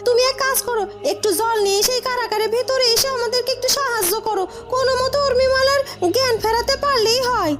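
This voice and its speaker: A performer voicing a frantic, sharp-tongued young maidservant in a cartoon, exclaiming, scolding and pleading. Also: extremely high pitch, steady tone, fast talker, hardly any pauses, over loud music. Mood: urgent, exasperated. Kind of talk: cartoon dialogue.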